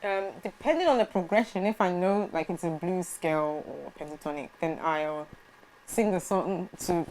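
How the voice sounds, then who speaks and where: Soft-spoken young woman with lowish voice. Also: normal speed, a little hiss or hum, rough recording. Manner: soft-spoken, hesitant, many fillers and restarts. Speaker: gospel singer guest. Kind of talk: casual interview answers.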